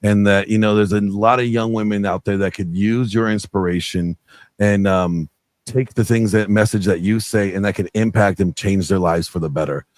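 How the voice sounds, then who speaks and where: A man's voice: fairly low pitch, steady tone, normal speed, no room sound. A middle-aged man speaking earnestly as a guest on a video call interview, rambling with many fillers. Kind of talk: casual interview chat.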